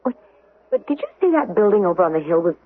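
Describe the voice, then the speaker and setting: Husky, coaxing woman friend. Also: mid-range voice, fast. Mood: curious, playful. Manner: urging, expressive, insistent. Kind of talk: old-time radio drama acting. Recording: muffled, band-limited 1970s radio broadcast.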